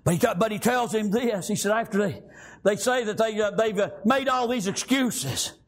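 A man preaching a fiery revival sermon in a church, shouting and dotting his lines with "praise God" and "amen.". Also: high voice, moderate pace.

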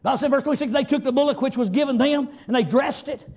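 A man's voice: very high-pitched, fast, darkish tone. A man with a Southern US accent preaching a fervent, folksy sermon off the cuff, exclaiming as he retells scripture. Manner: folksy, exclamatory, repeats praise God and amen as punctuation. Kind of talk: fervent extemporaneous sermon with Bible reading and retelling.

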